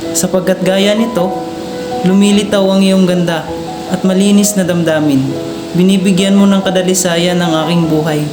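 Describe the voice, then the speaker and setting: A man's voice: high voice, level tone, normal speed, natural voice. A young Filipino man playing an earnest, devoted young patriot in a student radio drama, speaking in formal declamation. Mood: tender. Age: young adult. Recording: roomy echo under music.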